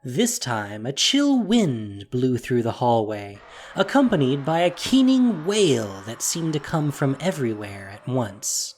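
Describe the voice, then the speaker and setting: Unhurried, expressive male story narrator. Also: medium pitch, soft background music. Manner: measured, expressive reading.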